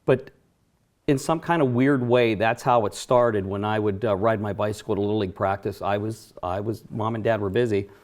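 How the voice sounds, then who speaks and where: A man's voice: on the low side, moderate pace, darkish tone. A middle-aged man from western Pennsylvania, a racing announcer guest, reminiscing in casual studio chat with fillers and self-corrections. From Johnstown, Pennsylvania area.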